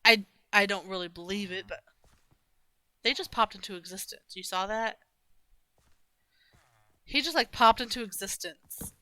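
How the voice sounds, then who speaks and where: Young woman gaming and chatting, rambly, lots of restarts, bright crisp voice. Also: medium pitch, a little slow, pauses often, no echo. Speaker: gaming streamer. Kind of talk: casual chat while playing a game.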